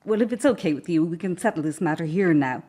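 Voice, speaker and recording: A woman playing a brisk, formal, coolly polite corporate executive in a naturalistic radio drama. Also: fairly low pitch, animated, dark tone. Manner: formal, businesslike.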